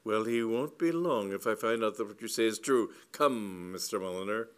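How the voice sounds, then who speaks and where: A man's voice: lowish voice, moderate pace, smooth, lightly acted. Storybook matron, played by a male reader: formidable, imperious, cold and commanding, declaiming her indignation. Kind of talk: comic storybook reading.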